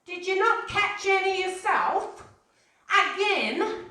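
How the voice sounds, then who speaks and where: A woman's voice: extremely high pitch, expressive, slow pace, pushed and bright, exaggerated voice. A woman playing a puzzled fisherman's wife in a live radio play. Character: fisherman's wife, dazed after a long dream. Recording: very echoey hall, distant.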